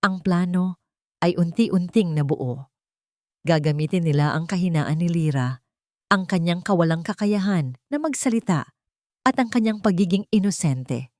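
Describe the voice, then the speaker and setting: A woman's voice: fairly low pitch, animated, normal speed, a little mellow, no room sound, clean studio sound. A woman reading a scripted romance story in a dramatic storytelling style.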